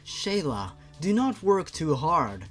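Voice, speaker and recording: Caring, proper-spoken sister in a storybook, performed by a man, highish voice over music. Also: very animated, slow. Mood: caring, soothing. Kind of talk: storybook character reading.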